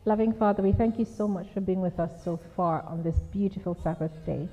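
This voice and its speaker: A woman's voice: medium pitch, fairly quick. A woman at a church giving an informal, hesitant welcome to a guest speaker, with many fillers. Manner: informal, hesitant with fillers, then a soft prayer. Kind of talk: welcome speech and prayer. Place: church hall. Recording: lo-fi phone-like sound in a reverberant church hall.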